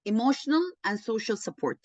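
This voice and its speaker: A woman leading an online teaching session, slow and encouraging, often thanking participants. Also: a little high, lively intonation, a little mellow, no room sound. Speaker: webinar lecturer. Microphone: video call. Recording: dull online call audio.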